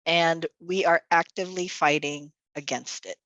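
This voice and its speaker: A woman's voice: deep voice, moderate pace, fairly bright tone, dry recording, muffled recording. Woman running a zoom happy hour, tagalog is her first language, upbeat and chatty, dry close sound. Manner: upbeat, chatty, many fillers. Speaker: executive director and event host. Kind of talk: virtual event welcome and announcements. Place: home or office on a video call. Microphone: video call.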